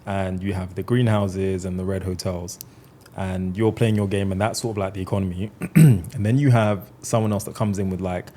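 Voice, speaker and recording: A man's voice: low voice, fairly even intonation, normal speed, mellow. A man hosting a podcast, asking long, reflective questions in a thoughtful way. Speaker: podcast host. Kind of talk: conversational podcast interview.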